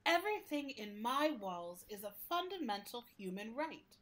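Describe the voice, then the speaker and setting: Radio play casting: female actor for a sentient public library, high-pitched, earnest, caring, reflective, gently opinionated. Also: big pitch swings, normal speed. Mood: earnest, bemused. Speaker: actor playing the interview guest. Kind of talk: radio play acting, conversational interview.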